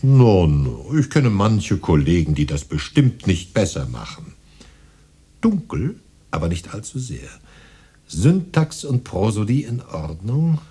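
Fussy modest poet, deep, very hoarse-edged, lively intonation, musing, radio drama. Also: male voice, slow, full character voice. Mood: pleased. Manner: musing, hesitant, muttering as he reads. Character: modest male poet, eager, fussy, tinkering with machine. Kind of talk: German radio drama acting.

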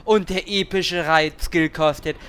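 A man playing a needling little gnome warlock in a parody audio drama, talking in an animated, relentless way. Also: fairly high pitch, a little slow, clean tone. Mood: mock-harrowing recounting.

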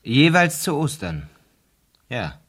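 An actor in a German radio drama playing an earnest, troubled English academic, speaking in a hesitant, subdued manner. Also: male voice, mid-range voice, very animated, slow, a bit bright, slightly rough, exaggerated voice.

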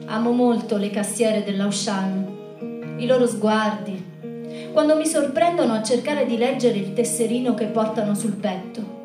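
A woman's voice: fairly high pitch, a little slow. A woman performing a spoken monologue and telling stories as part of a live show. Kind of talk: spoken monologue and storytelling to an audience. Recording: echoey room from far off, music behind.

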